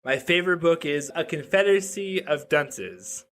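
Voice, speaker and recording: A man's voice: fairly high pitch, moderate pace, a bit bright. A man in his thirties from Nevada, a podcast guest chatting casually, joking and full of "like" fillers and restarts. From Reno, Nevada. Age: 30s. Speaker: podcast guest, web developer. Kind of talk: casual interview chat.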